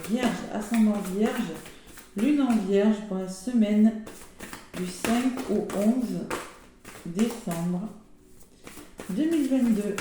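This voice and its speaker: Hoarse French woman reading cards, echoey. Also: medium pitch, background noise. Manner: chatty, with many fillers and exclamations. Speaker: tarot and oracle card reader. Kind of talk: casual weekly astrology card reading. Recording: very echoey room with faint music.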